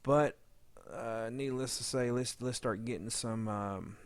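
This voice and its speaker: A man's voice: mid-range voice, measured pace, clean tone, no room sound. A man giving a casual, unscripted editing tutorial, meandering as he thinks aloud. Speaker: audio drama editor giving a demo.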